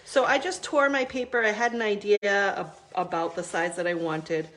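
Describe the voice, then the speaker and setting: A woman's voice: medium pitch, steady tone, normal speed, a bit bright, rough recording. A middle-aged woman hosting a live crafting video, chatting casually with many ums while she works, still stuffy from a cold.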